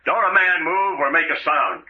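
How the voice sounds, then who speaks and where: A man playing a brisk, commanding adventurer in an old-time radio serial, giving clipped orders. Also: a little high, narrow, tinny audio, close to an everyday voice. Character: brisk commanding male adventurer leading a rescue. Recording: noisy band-limited old radio recording.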